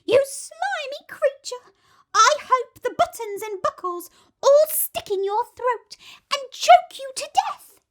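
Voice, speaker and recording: A woman voicing a small, excitable male monkey in a storybook, whooping and exclaiming in a cheeky, squealing way. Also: very high voice, slow, voice pushed up, exaggerated voice. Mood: indignant, frightened.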